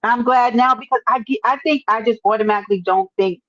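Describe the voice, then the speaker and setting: Animated New York woman livestream host. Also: fairly high pitch, fast talker, no echo, muffled recording. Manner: animated, calls people baby and honey. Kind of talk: casual livestream chat.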